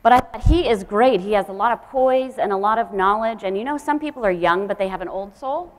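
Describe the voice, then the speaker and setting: A woman's voice: mid-range voice, a little fast. A woman speaking as an event host, giving a live introduction of a speaker.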